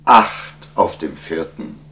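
A man plays a humble sage from a storybook legend. He speaks slowly and deliberately in a low, slightly gravelly voice, calm before his king. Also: heavily acted. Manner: measured, deliberate. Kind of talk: storybook character acting.

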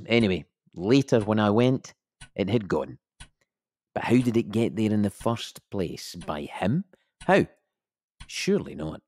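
Measured, blunt male cop giving testimony; deep voice, dry studio sound, audio drama. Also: darkish tone, dry recording. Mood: dispelling, wry. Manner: measured, official, slips into bluntness. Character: dry, procedural male police officer giving account.

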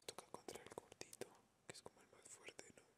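A woman with a Colombian accent doing playful, slangy ASMR game commentary in a breathy whisper. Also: brisk. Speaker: ASMR gamer.

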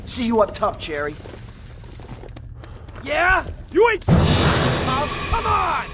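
A man's voice: very high voice, wildly swooping pitch, slow pace, music in the background, exaggerated voice. A male actor playing a brash, foul-mouthed American soldier in a combat video game, with crude, mocking slang. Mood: aggressive, frantic. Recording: loud gunfire and battle noise behind.

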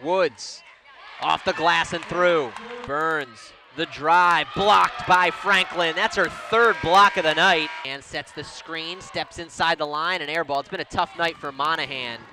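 An excited male play-by-play commentator with a high voice calls each play in quick bursts. A loud arena crowd and steady noise fill the broadcast behind him. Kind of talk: sports commentary.

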